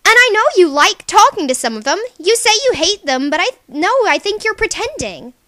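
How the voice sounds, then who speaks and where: Woman voicing a very high, bubbly scolding alien sea girl. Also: sing-song, brisk, sharp tone, no echo, studio quality, heavily acted. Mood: earnest scolding. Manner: exclamatory, teasing, emphatic. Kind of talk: character voice reel for a webcomic.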